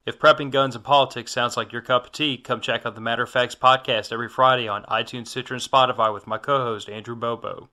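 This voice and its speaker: A man's voice: mid-range voice, fairly even intonation, quick pace, hardly any pauses, bright, forceful tone. A man who hosts a podcast, reading a promo to listeners. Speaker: podcast host. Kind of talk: promo read.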